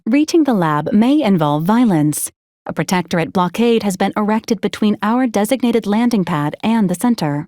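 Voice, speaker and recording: A woman voicing a formal, dutiful female robot assistant in a video game, speaking in a measured, precise, deadpan way. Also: medium pitch, no echo, studio quality, lightly acted. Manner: clipped, formal, deadpan, measured machine-like delivery. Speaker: mission briefing assistant to a commander. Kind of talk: scripted video game dialogue.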